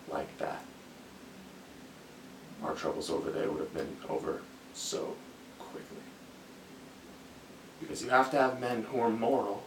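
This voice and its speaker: A man acting out a haunted army officer in a film monologue, brooding and philosophical. He speaks very slowly and haltingly, with a gravelly creak. The sound is echoey, as if caught across a room. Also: mid-range voice. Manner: halting, deliberate, with long pauses and repeated phrases. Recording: echoey room, distant mic, faint noise.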